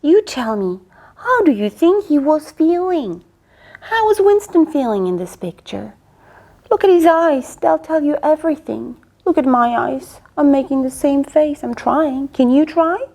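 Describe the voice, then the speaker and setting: Brief: high-voiced woman doing an unhurried, lively read-aloud for young kids. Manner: coaxing, asks the listener questions. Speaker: children's story reader. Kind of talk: interactive read-aloud for children.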